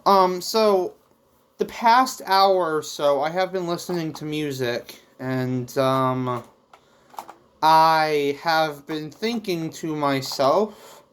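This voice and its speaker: A vlog host who makes manga, talking casually and in a rambling way to viewers, with theatrical touches. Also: mid-range voice. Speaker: YouTube host and manga creator.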